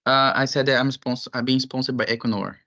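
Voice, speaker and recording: A man from Brazil, a student, introducing himself haltingly on a video call. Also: mid-range voice, steady tone, pushed and bright, highs cut off. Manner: halting, with fillers. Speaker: student panelist.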